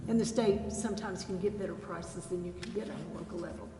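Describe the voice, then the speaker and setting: A woman's voice: on the low side, fairly even intonation, quick pace, noisy background. A woman, an official patiently explaining bidding rules at a council meeting. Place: city council chamber. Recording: distant voice in an echoey chamber with room chatter.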